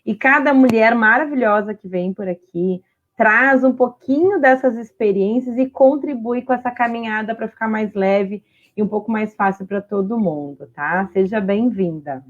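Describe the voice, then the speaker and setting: A woman's voice: a little high, moderate pace, muffled recording. A Brazilian woman hosting a casual livestream interview, talking conversationally with frequent tag questions. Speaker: host of an online women's talk series.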